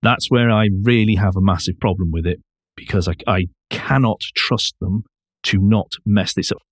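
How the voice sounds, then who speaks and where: Male podcast co-host, fairly low voice, opinionated and conversational, very dry recording. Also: normal speed, no room sound. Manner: opinionated, emphatic. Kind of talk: casual discussion of car news.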